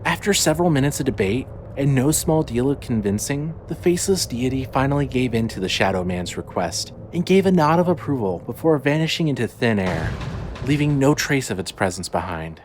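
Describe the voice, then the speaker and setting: A man's voice: medium pitch, sing-song, brisk, a little hiss or hum. A man reading a scripted audio drama as its narrator. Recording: music under the voice. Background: clearly audible music.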